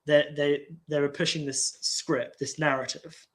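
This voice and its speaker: A man, nasal and congested, talking in a slow, halting way with many restarts and fillers. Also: a little high, little pitch movement, a bit bright. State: congested from an infection. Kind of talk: casual rambling talk.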